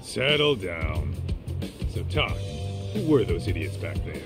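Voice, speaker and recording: A man's voice: deep voice, sing-song, slow pace, gravelly, over loud music, heavily acted. A man voicing a brash, easygoing tough guy in his thirties in a video game, laid-back and slangy. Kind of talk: English-dubbed video game cutscene dialogue.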